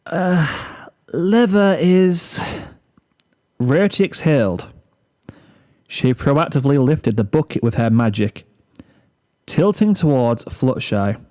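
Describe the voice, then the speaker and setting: A male narrator reads a scripted comic tale. His timbre is mellow and relaxed, and his delivery takes its time at a slow pace. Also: mid-range voice. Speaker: story narrator.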